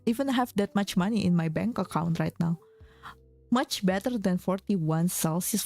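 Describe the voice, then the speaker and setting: A young woman streamer chatting casually with her viewers, often restarting her sentences and filling pauses with "like". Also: medium pitch, gravelly, darkish tone, no echo. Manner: chatty, many fillers and restarts, reads chat aloud. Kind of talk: casual chat with chat readers. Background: faint background music.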